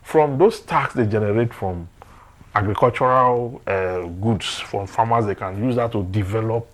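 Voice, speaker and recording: A man's voice: lowish voice, moderate pace. A man from Nigeria with a Nigerian accent, discussing current affairs emphatically and repeating phrases for stress. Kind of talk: opinionated discussion.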